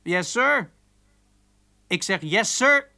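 A man's voice: high-pitched, expressive, extremely slow, vocal fry, sharp tone, full character voice. An actor playing a chatty, cheeky young male switchboard operator in a radio drama, breezy and familiar with a chuckling manner. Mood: breezy, businesslike. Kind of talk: naturalistic Dutch radio drama acting.

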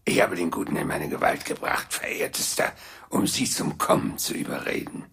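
An actor playing a smug, theatrical villain in a German radio drama, drawling with mock courtesy and a raspy voice. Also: male voice, very deep voice, fairly even intonation, moderate pace, fairly bright tone, heavily acted. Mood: threatening. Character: Behringer, smug theatrical villain, cackling and menacing.

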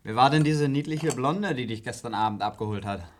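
An actor playing an awkward middle-aged father in a radio play, stiffly paternal and halting as he tries to stay tolerant. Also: medium pitch, close to an everyday voice. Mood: teasing.

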